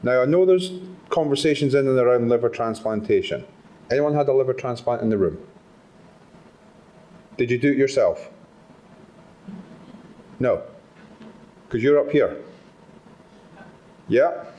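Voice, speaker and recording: A man's voice: mid-range voice, animated, unhurried, long pauses, mellow. A man from Scotland with a Scottish accent, giving a motivational self-care talk to a live audience at a patient summit and often checking in with rhetorical questions. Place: conference room with a live audience.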